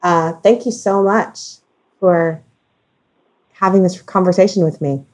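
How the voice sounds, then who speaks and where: Lower-voiced woman hosting a casual discussion. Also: steady tone, unhurried, darkish tone. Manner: emphatic, repeats phrases for stress. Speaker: podcast host.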